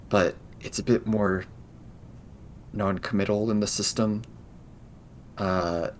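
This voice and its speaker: Slow, halting low-voiced man in roleplay. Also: a little hiss or hum. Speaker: tabletop roleplaying player. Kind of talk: improvised in-character tabletop game conversation.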